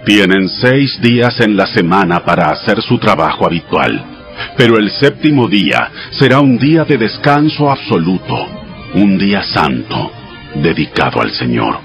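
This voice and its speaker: The Almighty in an audio Bible drama. The voice is deep and grave, speaking with solemn authority as he gives commands. Soft music runs behind it. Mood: stern, commanding.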